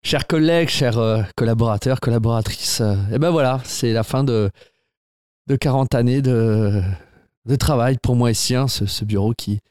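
A man's voice: mid-range voice, moderate pace, darkish tone, smooth, dry recording, studio quality, close to an everyday voice. A man improvising a retiring company boss of about sixty, touched and hesitant, who stammers and repeats his words in a comedy sketch. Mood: solemn, moved.

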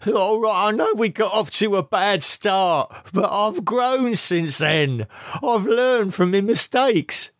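A man voicing a crude, manipulative man who is injured and pleading, shouting and swearing. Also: high-pitched, slow, no room sound, studio quality, voice pushed up. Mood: wheedling.

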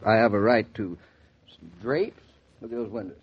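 An actor playing a teasing, good-humored young husband in a 1940s radio drama, with playful, mock-grand banter. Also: male voice, fairly low pitch, normal speed, slight background noise, natural voice. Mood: eager, generous. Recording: muffled 1940s radio recording.

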